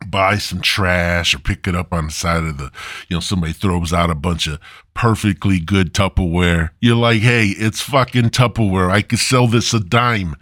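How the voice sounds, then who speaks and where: A man hosting a solo comedy podcast, rambling casually and crudely with drawn-out, mock-grandiose theatrical delivery. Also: sits low, a bit bright, no echo, clean studio sound. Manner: crude, foul-mouthed. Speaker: podcast host.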